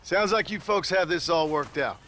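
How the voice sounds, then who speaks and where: Guy doing a blunt gunslinger, dry drawl, slightly high voice, faint hiss. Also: moderate pace, a bit bright, lightly acted. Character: gruff male frontier gunslinger, blunt and weary.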